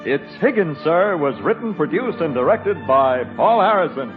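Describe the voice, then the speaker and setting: A man's voice: on the high side, animated, moderate pace. A man announcing credits in the scripted style of 1950s radio. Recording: tinny narrow radio recording over music.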